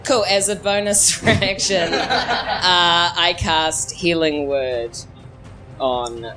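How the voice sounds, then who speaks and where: A woman's voice: lowish voice, drawn-out words, poor audio. A woman playing a live tabletop game in a casual, improvised way. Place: live on stage in a club. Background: loud music.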